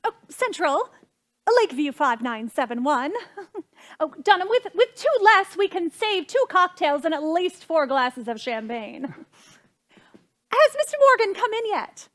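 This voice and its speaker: A woman's voice: very high-pitched, very animated, a little slow, a bit bright, heavily acted. A woman playing a fretful, imperious society hostess and mother in a theatrical period stage comedy, gushing and dramatic. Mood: urgent, flustered. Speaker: stage actress.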